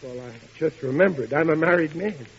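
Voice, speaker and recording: An actor playing a bumbling, eager husband who stammers when flustered, in old-time radio sitcom style. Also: male voice, on the high side, fairly quick, breathy edge. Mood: nervous, bashful. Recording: muffled, hissy vintage radio broadcast.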